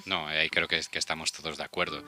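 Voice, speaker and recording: Bright, forceful male host from Spanish radio. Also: very deep voice, little pitch movement, fast talker, faint music behind. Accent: Spain. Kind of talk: casual panel chat and hosting.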